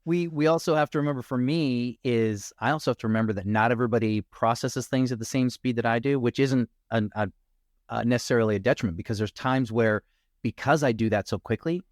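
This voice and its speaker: A man who works as a coach, speaking as a guest in a casual podcast conversation in a reflective, measured way. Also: mid-range voice, no echo, clean studio sound.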